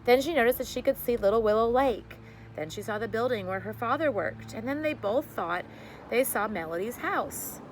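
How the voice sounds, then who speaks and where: A woman's voice: on the high side, lively intonation, normal speed, a little hiss or hum, low-quality recording. A woman reading a children's story aloud expressively, like a teacher addressing her class.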